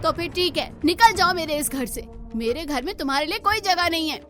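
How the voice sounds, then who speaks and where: A woman's voice: very high-pitched, animated, fairly quick, fairly bright tone, soft background music, voice pushed up. A woman voicing a stubborn village wife in a cartoon story, with a scolding, insistent manner. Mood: angry, scolding.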